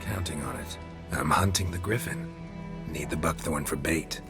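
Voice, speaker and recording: A man's voice: extremely low pitch, moderate pace. An actor playing a terse, dry middle-aged male monster hunter in video game dialogue, with game music behind. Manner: terse, dry, clipped sentences.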